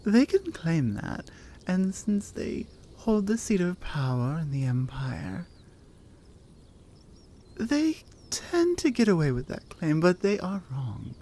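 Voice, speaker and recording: Woman acting a low, mellow Nordic warrior woman. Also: sing-song, a little hiss or hum. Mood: proud, earnest. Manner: playful, dry, conversational with pauses. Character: strong, teasing, skeptical female Nordic warrior. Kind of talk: ASMR roleplay audio drama.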